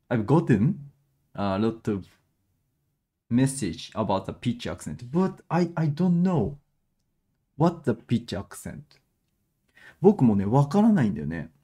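A young Japanese man with a Japanese accent, teaching a live-streamed lesson in halting English with many restarts, switching to quick Japanese asides, at a webcam in a small room. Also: medium pitch, mellow, breathy edge.

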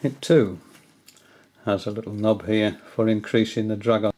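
An older man at home giving a hesitant, casual tutorial, often pausing with fillers as he shows his reels. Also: fairly low pitch, fairly even intonation, a little mellow. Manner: hesitant, many fillers and restarts. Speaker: angling hobbyist giving a tutorial. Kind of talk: casual explanatory demonstration. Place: indoors, small room at home.

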